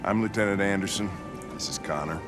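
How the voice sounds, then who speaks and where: A man's voice: on the low side, brisk, over music, close to an everyday voice. An actor playing a blunt, impatient, middle-aged male police lieutenant in a game cutscene. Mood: polite. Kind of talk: video game cutscene acting.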